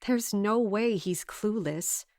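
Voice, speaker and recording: A woman's voice: mid-range voice, a little mellow, no room sound, clean studio sound. A woman playing a resolute, guarded guard captain, speaking formally and narrating in a fantasy audio drama. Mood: scheming, decisive. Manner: formal, measured, commanding.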